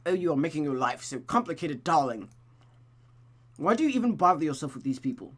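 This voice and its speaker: A man's voice: a little high, expressive, brisk. A man voicing a cold, controlling, condescending mother from a visual novel. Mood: mocking, condescending.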